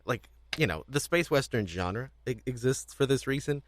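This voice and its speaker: A man's voice: mid-range voice, expressive, moderate pace, no room sound. A man co-hosting a casual podcast discussion, talking in a rambling, self-repeating way with a readiness to chuckle. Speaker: podcast co-host.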